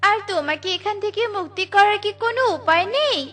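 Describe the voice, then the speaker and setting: A woman's voice: very high voice, lively intonation, fast talker, fairly bright tone, faint music behind. A woman voicing a caring, resolute mother bird in a children's cartoon, earnest and motherly. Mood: caring, determined.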